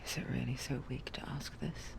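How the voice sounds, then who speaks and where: A woman playing the solemn, hushed narrator of a dark video game tale, speaking in a measured, sombre way. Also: a bass voice, little pitch movement, creaky, whispered, full character voice. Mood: brooding.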